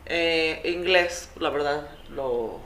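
Playful Mexican woman co-host, bright voice. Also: low-pitched, very slow. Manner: playful, switches languages and accents. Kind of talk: casual comedic chat. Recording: echoey room with faint noise.

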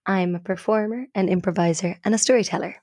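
A woman's voice: mid-range voice, quick pace, dark tone, no room sound, studio quality. Irish woman chatting on a podcast. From Ireland. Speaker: podcast co-host, storyteller. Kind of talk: casual podcast chat.

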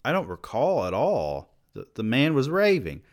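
A man's voice: mid-range voice, wildly swooping pitch, moderate pace, voice pushed up. A man reading aloud and voicing a nervous young male student who pleads and stammers.

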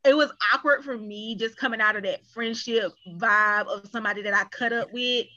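A woman's voice: a little high, steady tone, moderate pace, sharp tone. A woman chatting casually as a cast member in an interview, on dull lo-fi audio. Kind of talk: casual chat.

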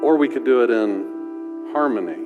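A male pastor with a deep voice preaching a sermon in a conversational way, often asking "right?". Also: lively intonation, a little slow, big-room sound, narrow, tinny audio, over loud music.

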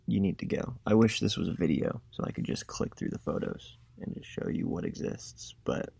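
A young man, a student making a class podcast, telling a travel story in a casual, self-described monotone voice. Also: deep voice, moderate pace, creaky, breathy edge, no room sound. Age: young adult.

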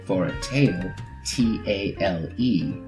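A man's voice: low voice, slow, darkish tone. A male storyteller guest giving a spoken plug with careful enunciation, plucked guitar music behind him. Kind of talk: spoken plug, spelling out addresses.

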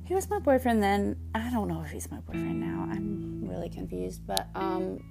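A young woman with a Southern US accent, chatting candidly and rambling on her solo podcast, full of fillers and pauses. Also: mid-range voice, very animated, creaky, over loud music. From Southern United States. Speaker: podcast host.

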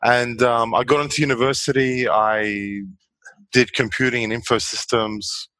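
A man's voice: mid-range voice, little pitch movement, normal speed, bright, forceful tone, no echo, rough recording. A man in his forties, a guest chatting easily about his life and laughing now and then. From Australia. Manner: easygoing, chatty, laughs often. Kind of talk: casual interview chat.

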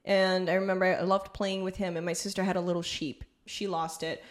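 Animated woman with a lilt. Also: on the low side. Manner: animated, laughs easily, quick bursts. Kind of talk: casual conversation.

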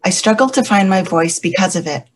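A woman who stutters, a church elder, giving a measured, reflective personal faith testimony. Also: mid-range voice, expressive.

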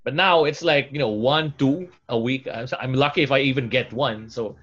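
A man's voice: mid-range voice, moderate pace, fairly bright tone. Filipino man in muffled casual chat. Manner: talkative, with many fillers and restarts. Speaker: voice-over artist. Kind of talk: casual interview chat mixing Tagalog and English.

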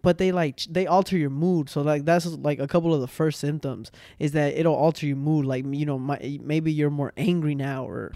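A young man hosting a home podcast on a boom arm mic, chatting casually and rambling with restarts and slang. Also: fairly even intonation, normal speed, hardly any pauses, dark tone, dry recording, clean studio sound. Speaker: podcast host. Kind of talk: casual chat.

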